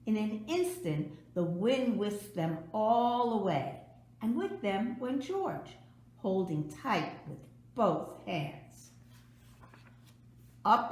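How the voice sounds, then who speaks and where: A woman reading a children's storybook aloud expressively, with dramatic emphasis and drawn-out words. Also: medium pitch, fairly bright tone, reverberant. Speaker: storybook reader.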